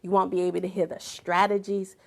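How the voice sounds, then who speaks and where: Gravelly-voiced woman preaching purpose. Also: medium pitch, little pitch movement, a little fast. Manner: emphatic, repeats phrases for effect, drops to whispers. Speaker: motivational speaker and author. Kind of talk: inspirational talk with preaching.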